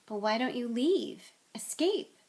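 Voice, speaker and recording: A woman voicing a polite, nervous young boy wizard who keeps his voice hushed. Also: fairly high pitch, animated, unhurried. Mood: urgent.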